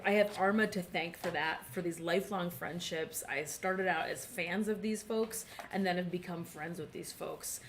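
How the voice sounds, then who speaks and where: A chatty woman hosting a behind-the-scenes motorcycle race show from up in the tower. Her talk is upbeat and loose, full of quick laughs. A light murmur of noise sits under her voice. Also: on the low side. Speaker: race broadcast host.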